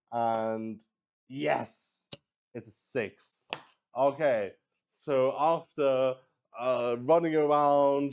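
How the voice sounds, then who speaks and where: A man's voice: mid-range voice, darkish tone, clear, resonant tone. A man narrating a solo tabletop roleplaying game casually, thinking aloud, mocking himself and swearing freely. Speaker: solo player and game master.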